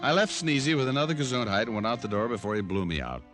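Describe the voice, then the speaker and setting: An American actor playing a wry, tough private detective, narrating and wisecracking in a vintage radio noir drama. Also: male voice, medium pitch, big pitch swings, fast talker, natural voice. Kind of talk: 1940s-50s radio noir acting, first-person narration. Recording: vintage radio recording with music behind.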